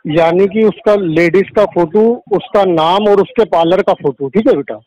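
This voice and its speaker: A man's voice: high voice, normal speed, darkish tone, soft background music. A man speaking as a self-styled holy man on the phone, insistent and paternal, repeating himself and checking that he is understood. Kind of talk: phone conversation, sales pitch. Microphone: telephone. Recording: muffled lo-fi recorded phone call.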